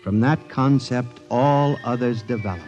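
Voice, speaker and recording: A man's voice: medium pitch, measured pace, darkish tone, lo-fi sound, close to an everyday voice. A man acting an obsessed office clerk in a 1950s radio thriller, speaking with formal, theatrical intensity. He sounds earnest and fearful, and music plays behind his dramatic confession. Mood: awed, ominous.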